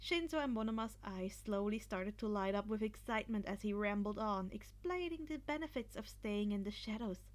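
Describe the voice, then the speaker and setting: A woman's voice: medium pitch, expressive, normal speed, hardly any pauses. A woman narrating fan fiction as an amateur reader, sometimes stumbling and rereading words.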